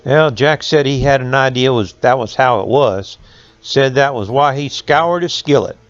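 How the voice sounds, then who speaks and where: A man's voice: medium pitch, normal speed. An older man reading a folk tale aloud as a storyteller, with folksy rural phrasing. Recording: echoey room, slightly dull sound.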